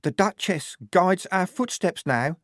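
A man's voice: sits high, animated, slow, dry recording, clean studio sound. A man reading a story, voicing a fervent, devout young woman soldier who speaks zealously and solemnly.

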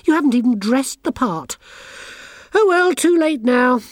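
A bossy, haughty teenage witch from a storybook, pitched up high and dripping with condescension, as a woman reader puts her on. She orders the other girls about with slow, lively sweeps of pitch. The reading is close and dry. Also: dry recording. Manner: sneering, imperious, talks down.

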